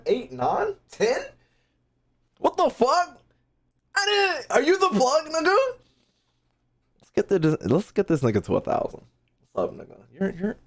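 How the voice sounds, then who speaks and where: A man with an American accent hosts a casual stream in a fairly high voice. His pitch jumps around a great deal as he talks. He banters brashly with his viewers, curses often and repeats phrases to drive them home. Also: slightly rough. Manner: brash, heavily swearing banter. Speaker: streamer hosting a reaction video. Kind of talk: casual livestream chat to viewers.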